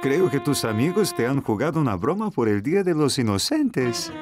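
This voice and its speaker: A man playing a calm, kindly father in a cartoon, with a Latin American accent and a gentle, reasoning manner. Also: mid-range voice, moderate pace, music in the background, close to an everyday voice.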